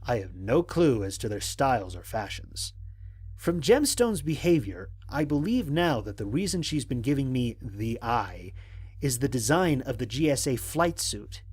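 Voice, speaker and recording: A man playing a stranded space explorer who narrates his mission log in a measured, formal, dryly humorous way. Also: medium pitch, sing-song, a little mellow, breathy edge. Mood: dry, reflective. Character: stranded male space explorer, analytical, wryly exasperated. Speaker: narrator of an audio drama. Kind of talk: scripted first-person mission log narration.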